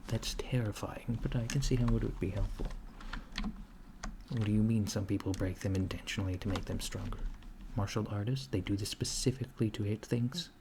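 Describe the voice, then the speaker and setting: Male, lowish gentle voice for an ASMR alien clerk: curious, earnest, apologetic, near-whispered, over clearly audible noise and typing. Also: fairly even intonation, brisk. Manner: hesitant, apologetic, earnest questioning, drifting into whispers. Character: polite, curious, naive alien data-entry clerk. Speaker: ASMR roleplay performer. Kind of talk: soft-spoken ASMR roleplay. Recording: noisy close recording with keyboard typing. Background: computer keyboard typing.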